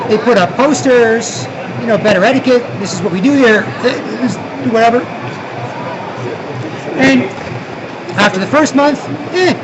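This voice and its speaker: A man's voice: high-pitched, highs cut off, background noise. A Canadian man from Toronto vlogging outdoors, rambling, restarting often and tagging lines with "right?". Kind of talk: rambling street vlog monologue.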